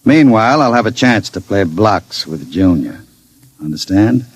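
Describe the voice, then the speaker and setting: A scheming crook from an old radio play. His voice is low and a bit rough, swooping widely as he talks in a mock-polite, insinuating way, coolly sinister. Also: big pitch swings, a little slow, full character voice. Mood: coolly commanding. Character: suave, scheming male criminal, coolly sinister manipulator. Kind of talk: old-time radio drama acting.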